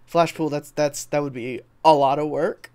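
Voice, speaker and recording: A man's voice: a little high, fairly even intonation, moderate pace, warm tone, clear, resonant tone. An American man from Texas chatting informally on a livestream, rambling and swearing as he reads and answers comments. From Texas, United States. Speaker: livestreamer and video editor. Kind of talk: casual livestream chat, reading and answering comments.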